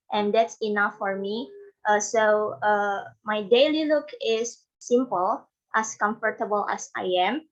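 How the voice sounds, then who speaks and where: Slow, halting woman. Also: medium pitch. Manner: hesitant, many pauses with uh. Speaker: student speaker. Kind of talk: class speech practice. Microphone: video call. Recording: dull lo-fi online call audio.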